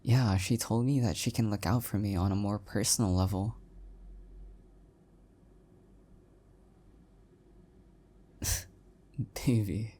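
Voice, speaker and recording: A man playing an adorkable, self-deprecating young husband in an intimate one-sided audio roleplay, speaking softly and hesitantly with affection. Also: on the low side, steady tone, moderate pace, natural voice. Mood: oblivious, fond.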